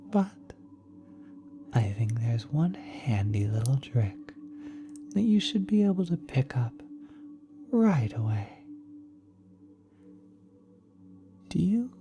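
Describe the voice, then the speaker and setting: A woman voicing a kind, nurturing celestial being in a soft, breathy, often whispered ASMR roleplay, gently reassuring the listener. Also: very deep voice, big pitch swings, takes it slowly, long pauses, heavily acted. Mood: gentle, instructive. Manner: gentle, reassuring, hesitant, coaxing. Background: spacey ambient music.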